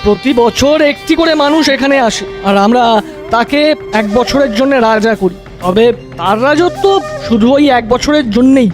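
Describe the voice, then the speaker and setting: A blunt ghostly royal attendant from a cartoon, acted by a man, with an extremely high pitch. He proclaims and explains frankly, with faint music underneath. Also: normal speed. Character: blunt male ghostly gandharva attendant serving a king. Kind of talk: cartoon voice acting.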